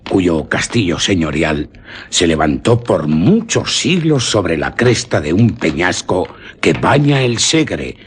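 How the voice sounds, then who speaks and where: A man with an accent from Spain playing a rustic village guide who tells an old cursed legend in a grave, dramatic, deliberate radio drama style. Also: sits low, slight background noise, full character voice. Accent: Spanish from Spain.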